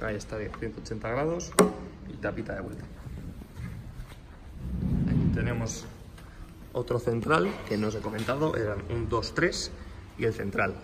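Guy filming on his phone in a workshop, walking round a motorbike explaining stuff, clear background noise. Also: fairly low pitch, expressive, normal speed, long pauses. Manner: explanatory, shifts to raised voice over a running engine. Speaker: motorcycle workshop owner showing a bike for sale. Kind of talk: hands-on walkaround video. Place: indoor workshop showroom. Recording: noisy room with engine sound.

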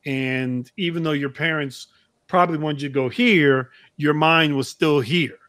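A middle-aged man hosts a casual interview on a video call. His voice is fairly high for a man and he feels his way through questions in halting, roundabout phrases. The sound is close and dry. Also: fairly bright tone, no room sound. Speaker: interview host. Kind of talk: casual chat. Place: indoor room, video call.

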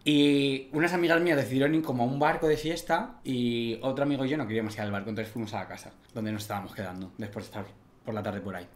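Un hombre joven, copresentador de un pódcast, charla de forma relajada y bromista en un estudio pequeño con micrófono de mesa. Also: male voice, medium pitch. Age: young adult. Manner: quick, chatty, joking interjections. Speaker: podcast co-host. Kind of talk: casual conversation. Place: small studio room at a desk. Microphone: desk microphone on stand.

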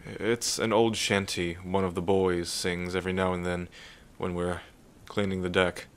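A man playing a gentle, bashful pirate captain in a soothing, hesitant, soft-spoken ASMR roleplay. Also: deep voice, little pitch movement, moderate pace, smooth, natural voice.

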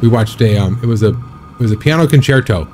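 A man in his thirties chatting casually while live-streaming a driving game, rambling with a slightly raspy, scratchy throat. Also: on the low side, expressive, fast talker, darkish tone, soft background music. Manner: rambling, chatty, reads and answers chat.

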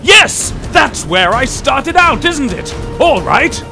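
A man's voice: very high voice, sing-song, takes it slowly, gravelly, over music, voice pushed up, heavily acted. A man playing a theatrical reader who hams up game commentary with exaggerated, melodramatic delivery. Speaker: gaming video host.

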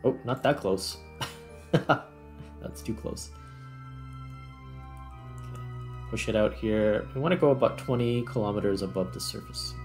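A man with a fairly low voice talks through a game he is playing. His delivery is casual, as he thinks each step aloud. Faint music plays behind him. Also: measured pace, long pauses, a little mellow. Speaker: gaming video host. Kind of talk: casual gameplay commentary.

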